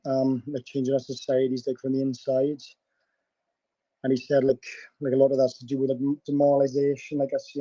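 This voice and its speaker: A man with a sore throat and a hoarse voice, rambling in casual chat and peppering his talk with filler words. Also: mid-range voice, warm tone, no room sound, low-quality recording. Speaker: caller in an online audio chat room.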